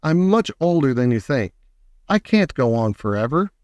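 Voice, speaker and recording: A man voicing an eccentric old chocolate factory owner in a storybook reading, kindly but brisk and emphatic. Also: medium pitch, big pitch swings, darkish tone, dry recording, highs cut off, natural voice. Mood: earnest, confiding.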